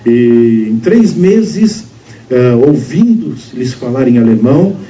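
A man's voice: medium pitch, lively intonation, takes it slowly, warm tone. An older Brazilian man telling a testimony in a sermon, acting out the dialogue in his story with emphasis. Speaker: preacher giving testimony. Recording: distant lo-fi sermon recording with faint noise.

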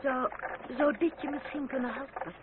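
An actress playing a refined, tender mother in a Dutch radio play, speaking gently and dramatically. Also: sits high, fairly even intonation, moderate pace, natural voice. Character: refined, ailing-seeming older mother, tender and sly. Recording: very noisy muffled old radio recording.

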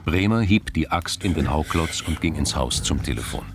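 A male narrator with a very low, creaky, gravelly voice tells a crime story. His delivery is measured and understated, with slight ambient noise behind him. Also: expressive. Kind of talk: scripted crime drama narration.

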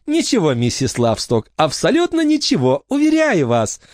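A man voicing a nervous, fawning woman who runs an orphanage and flatters her guest. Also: on the high side, normal speed, dry recording, studio quality, voice pushed up. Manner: simpering, ingratiating.

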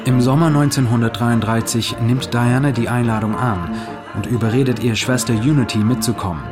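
Mid-pitched male narrator over music. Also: a little fast. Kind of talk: scripted documentary narration.

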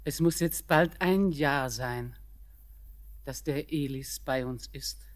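An actress playing a blind old grandmother in a German radio play, pensive and poetic in manner. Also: extremely low pitch. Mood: pensive, uneasy. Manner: slow, pensive, poetic delivery.

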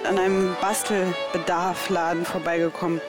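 A woman's voice: on the low side, little pitch movement, normal speed. A woman working as a reporter, narrating a documentary and talking with people she meets. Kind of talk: documentary narration and street conversation. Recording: loud music behind the voice. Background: prominent music.

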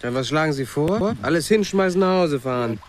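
An actor in a radio drama playing a blunt, practical settler leader who talks tersely and questions everything. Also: male voice, a little high, animated, brisk, lightly acted. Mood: irritated, challenging. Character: Lionel, blunt, practical settler leader, grumbly but fair. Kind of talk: naturalistic German radio drama acting.

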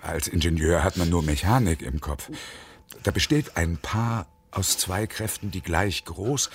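An actor playing a bitter, desperate hostage-taking engineer in his fifties in a radio drama, with sardonic, menacing, deliberate phrasing. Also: lowish voice. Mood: brooding, reflective. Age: middle-aged.

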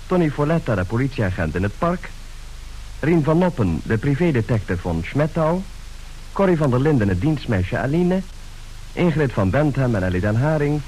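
A man's voice: medium pitch, sing-song, moderate pace, a little mellow, poor audio. A man formally announcing the cast credits of a radio play. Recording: hissy old radio recording.

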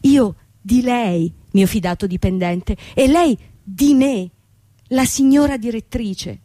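Bitter young boss, mellow-voiced. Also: female voice, a little high, slow, dry recording, studio quality. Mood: anguished, sombre. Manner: theatrical, dramatic delivery with repetition. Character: young bankrupt company owner, woman, bitter, proud, vain. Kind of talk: radio drama acting.

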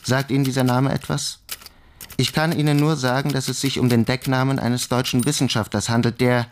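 An actor playing an earnest army lieutenant reading out his letter in a radio drama, measured and confiding. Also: medium pitch, little pitch movement, lightly acted. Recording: clearly noisy, clicking typewriter-like sounds.

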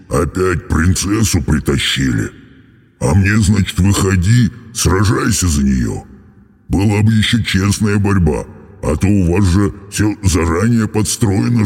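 A storybook dragon with a low, hoarse rumble. The creature drags its words out heavily, with a crackling, gravelly growl and big, raw emotion. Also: male voice, a little mellow, exaggerated voice. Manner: slow, drawling, bellowing and sobbing delivery. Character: grumpy, sullen old-fashioned dragon, secretly lonely and sentimental.

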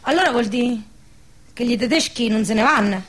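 A woman's voice: on the high side, normal speed, natural voice. A woman playing a naive, bashful village girl in a wartime radio drama, with a southern Lazio dialect accent and a hesitant, defensive way of talking. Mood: flustered, bashful. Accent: central Italian, southern Lazio dialect. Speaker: radio play actress.

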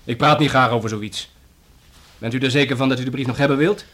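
An actor playing a sarcastic, defiant smuggling suspect in a radio play, with a Netherlands Dutch accent and an indignant manner. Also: male voice, mid-range voice, steady tone, fast, fairly bright tone, lightly acted.

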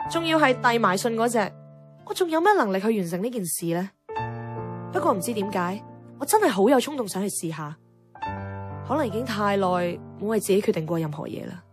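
An actress playing a frustrated, outspoken young radio worker in a Cantonese radio drama, with a Hong Kong accent and wry, expressive, colloquial delivery. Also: female voice, medium pitch, natural voice. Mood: self-mocking, wistful. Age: young adult. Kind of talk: radio drama acting with inner-monologue narration. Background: background music.

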